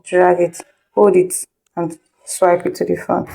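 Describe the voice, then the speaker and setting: A woman's voice: fairly low pitch, completely flat delivery, unhurried, creaky, dark tone, low-quality recording. A woman instructor giving an informal step-by-step tutorial, repeating instructions and checking in with listeners. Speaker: video editing instructor.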